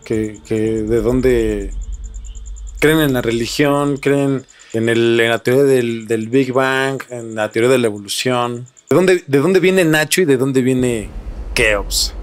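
A man's voice: medium pitch, slow. A man with a Mexican accent hosting a podcast, explaining things and chatting in an informal, slangy way. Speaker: podcast host. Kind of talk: podcast talk, explaining and casual chat.

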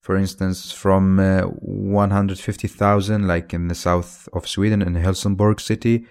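A man's voice: low voice, little pitch movement, a little slow, darkish tone, smooth. A man in his twenties from Iraq, hosting a solo podcast and talking off the cuff with restarts and fillers. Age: 20s. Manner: unscripted, thinking aloud with fillers and restarts. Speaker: podcast host.

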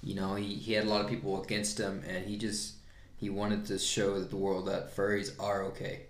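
A man's voice: on the low side, completely flat delivery, moderate pace, roomy sound, slight background noise. A man with a Texas twang co-hosting a casual podcast from a living room. He talks in a loose, laid-back way, swears freely and laughs often. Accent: Texan. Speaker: podcast co-host.

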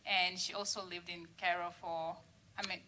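A woman introduces a guest speaker at a university event, pausing on a few fillers. Her voice is low-pitched yet bright and forceful. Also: level tone, slight background noise, poor audio. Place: university lecture room.

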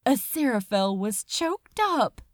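A woman narrates a dramatic story with solemn feeling. Her voice is fairly high and very expressive in pitch, and she takes her time over each phrase. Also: a little slow, no echo, studio quality. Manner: solemn, emotive. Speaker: audiobook narrator. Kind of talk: dramatic scripted narration of fan fiction.

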